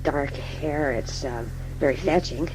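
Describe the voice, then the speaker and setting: A man playing a casual, flirtatious radio station colleague, improvising introductions in a halting, slangy way. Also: fairly high pitch, lightly acted. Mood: halting, admiring. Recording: noisy, humming radio-like recording.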